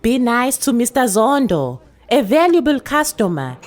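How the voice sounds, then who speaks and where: Woman voicing a loud, bossy tavern madam. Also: fairly high pitch, very animated, a little slow, pitched above the performer's own voice. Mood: firm. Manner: loud, roaring, commanding. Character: fierce, bossy woman running a drinking den. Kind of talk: acted dialogue in a short story reading.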